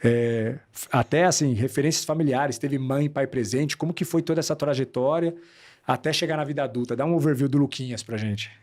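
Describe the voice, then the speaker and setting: A man's voice: mid-range voice, brisk, darkish tone. Man, podcast host; casual, enthusiastic, slangy interviewer. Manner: enthusiastic, affirming, slangy "cara".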